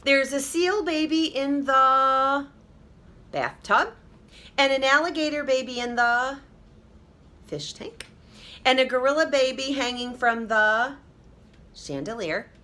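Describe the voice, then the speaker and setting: Woman acting a worried, high-pitched storybook dad. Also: slow, long pauses, bright, forceful tone, exaggerated voice. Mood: worried, exasperated. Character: worried father in a children's storybook.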